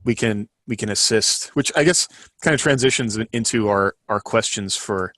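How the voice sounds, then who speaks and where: A man's voice: lowish voice, normal speed, a bit bright, dry recording. A man co-hosting a casual podcast, rambling and thinking aloud as he talks. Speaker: podcast co-host and co-author.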